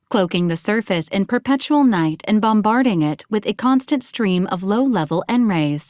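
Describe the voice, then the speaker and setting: Game role: female robot ship assistant, played by a woman. Formal, literal-minded, drily menacing deadpan delivery, close studio-dry sound. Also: mid-range voice, no room sound, natural voice. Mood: formal, informative. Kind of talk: scripted video game character lines, formal status reports.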